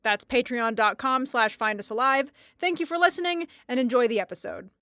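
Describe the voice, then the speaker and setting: A woman's voice: a little high, fairly quick, pushed and bright. A woman podcast creator reading scripted thanks and a support appeal to listeners.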